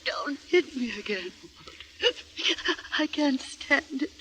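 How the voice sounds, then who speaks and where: A woman's voice: high-pitched, slow pace, a bit bright, a little hiss or hum, poor audio. An actress playing a frightened, downtrodden mother who pleads and stammers in a 1940s radio drama. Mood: pleading.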